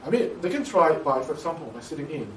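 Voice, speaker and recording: A man's voice: fairly high pitch, very animated, quick pace. A male university lecturer speaking in an interview, hesitant, with many "uh" and "like" fillers. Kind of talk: interview for a program promo. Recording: echoey room, distant mic, background noise.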